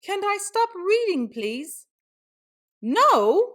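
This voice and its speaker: A woman's voice: very high-pitched, sing-song, extremely slow, a bit bright. A woman reading a children's book aloud in a theatrical storytelling style, with exaggerated exclamations.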